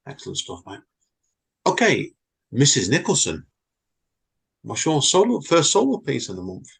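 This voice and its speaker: A man's voice: medium pitch, huge pitch swings, moderate pace, gravelly, a bit bright, husky. A man co-hosting a podcast, chatting casually and reading stories. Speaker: podcast co-host. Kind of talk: casual chat and story reading.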